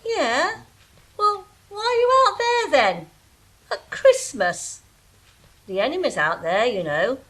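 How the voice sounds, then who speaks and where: Castle dung shoveler, woman actor: high, lively swooping voice, coarse and cheeky, comedy audio drama. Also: takes it slowly, pauses often, heavily acted, low-quality recording. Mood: cheeky, blunt. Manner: blunt, earthy colloquial speech. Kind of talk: comic radio-play acting.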